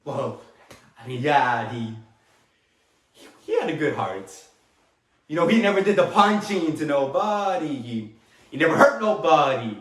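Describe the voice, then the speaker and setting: A man performing a dramatic monologue as a talkative, tender immigrant father with a Latin American Spanish accent, rambling haltingly with small chuckles. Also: on the high side, sing-song. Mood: fond, proud. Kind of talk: dramatic monologue, stage acting. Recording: echoey room.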